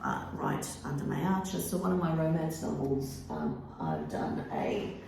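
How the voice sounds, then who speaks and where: An Australian woman, an author and teacher, answering interview questions thoughtfully, with many pauses and ums. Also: deep voice, huge pitch swings, vocal fry, background noise. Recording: echoey room.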